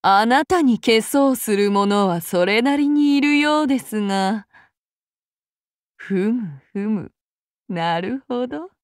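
Slow, high-voiced, courteous, menacing avenger demigoddess. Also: female voice, lively intonation, no room sound, clean studio sound. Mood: amused, courteous. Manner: archaic formal polite speech, slow and deliberate. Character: soft-spoken, courteous, menacing female avenger demigod warrior. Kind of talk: anime mobile game voice lines.

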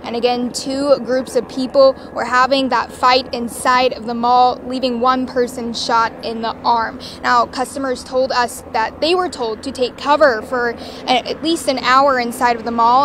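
A young woman delivers a live news report from a parking lot, speaking into a handheld mic. Her voice sits high, and a clear wash of outdoor ambience and passing cars runs under it. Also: unhurried, hardly any pauses. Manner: steady, plain reporting with some restarts. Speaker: field reporter. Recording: outdoor noise behind.

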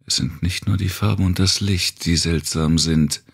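Very deep, gravelly male audiobook narrator. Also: fairly even intonation, normal speed, dry recording, studio quality. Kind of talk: scripted dark thriller audiobook narration.

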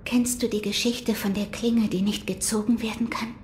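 Woman acting a solemn, hushed shrine maiden. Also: medium pitch, steady tone, moderate pace, slight background noise, lightly acted. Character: solemn, gentle mystical shrine maiden of dragon blood. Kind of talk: audio drama acting.

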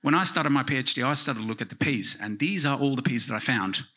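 A man in his thirties from Finland giving a joking conference keynote in a large lecture hall, speaking into a handheld microphone and dropping into quiet asides. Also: medium pitch, fast talker. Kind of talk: conference talk with jokes.

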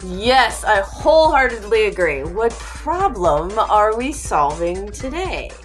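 A woman's voice: mid-range voice, big pitch swings, slow pace, over music. A woman hosting a science podcast for kids, enthusiastic and exclamatory. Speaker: podcast host. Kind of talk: upbeat kids' podcast hosting.

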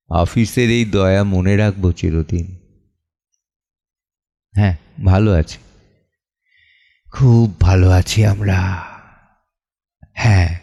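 A man acting an anguished, humble middle-aged clerk and father in an audio drama monologue, theatrical and deliberate, pausing between short phrases. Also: fairly low pitch, vocal fry, dark tone, heavily acted. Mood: tired, guarded. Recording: music under the voice. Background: background music.

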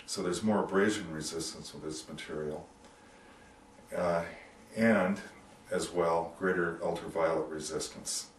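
A man in his sixties giving an informal product explanation as a company representative, measured and explanatory, with hesitant pauses. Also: sits low, steady tone. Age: 60s. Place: small office indoors. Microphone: camera microphone a few metres away. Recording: roomy, distant microphone, background noise.

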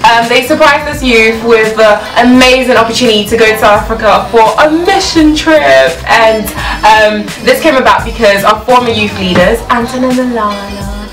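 A young woman from Ghana telling a personal story in a casual vlog, animated and laughing as she acts out her own reactions, filmed in a small bedroom. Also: a little high, normal speed, far from the mic. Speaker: vlogger. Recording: echoey room, voice off-mic, loud music behind.

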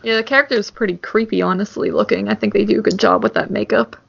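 A woman's voice: mid-range voice, fairly even intonation, fast talker, creaky, fairly bright tone, husky, no room sound, highs cut off. A woman on a podcast, commenting casually in a conversation about a film. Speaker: podcast co-speaker. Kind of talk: casual chat.

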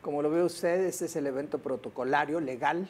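A man's voice: fairly high pitch, brisk, background noise. A Mexican man commenting on the news in a panel, conversational, with frequent hesitant fillers.

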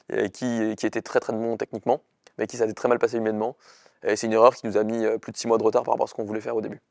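A man's voice: lowish voice, vocal fry, darkish tone, no echo, clean studio sound. A young man, a startup chief executive giving an earnest, hesitant interview to camera in short bursts. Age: young adult. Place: indoor studio with dark backdrop. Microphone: lapel mic.

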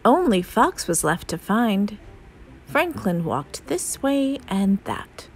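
Unhurried woman reading a children's story. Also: medium pitch, very animated. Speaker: storybook narrator. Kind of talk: children's story read aloud. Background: soft music.

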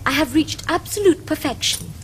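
A woman's voice: high voice, animated, normal speed, a bit bright, a little hiss or hum, rough recording. An actress playing a prim, romantic young Victorian lady in a period comedy, with precise, formal Received Pronunciation. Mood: prim, eager. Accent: English Received Pronunciation. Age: young adult. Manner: precise, formal, earnest diction. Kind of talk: period stage comedy acting for television.

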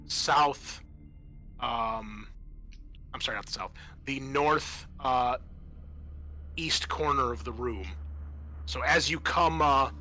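Bright-voiced man as sweary game master. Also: mid-range voice. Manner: casual, sweary. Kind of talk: running a tabletop roleplaying game.

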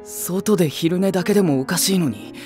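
An actor playing a sharp-tongued young male nursery teacher with a snarky, teasing way of talking. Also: high-pitched, a little fast, soft background music, lightly acted. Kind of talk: anime voice drama acting.

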